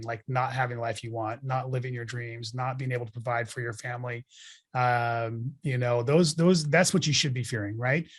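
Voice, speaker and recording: A man in his forties talking as a guest in an interview, blunt and emphatic, giving motivational advice with casual swearing. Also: mid-range voice. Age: 40s. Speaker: podcast guest, real estate investor.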